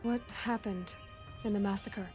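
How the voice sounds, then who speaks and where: A woman's voice: mid-range voice, fairly even intonation, moderate pace, a little mellow, faint music behind, lightly acted. A woman playing a young outcast huntress in a video game, earnest and questioning, with sympathy in her delivery. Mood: gentle, curious. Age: young adult. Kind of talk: video game dramatic acting.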